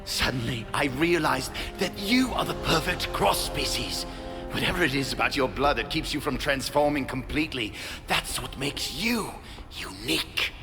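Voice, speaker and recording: An actor playing a remorseful, obsessive middle-aged scientist in a video game, speaking in a halting, hushed, intense way. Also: male voice, mid-range voice, animated, close to an everyday voice. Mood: intense, reassuring. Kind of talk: dramatic video game voice acting. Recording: long reverb, music behind.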